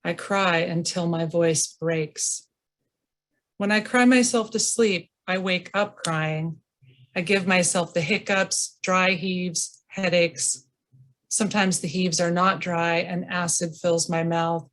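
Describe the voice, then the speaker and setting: Woman, lowish voice, reading her memoir aloud, slow and deliberate, video call. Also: steady tone. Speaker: reader. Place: home, over a video call.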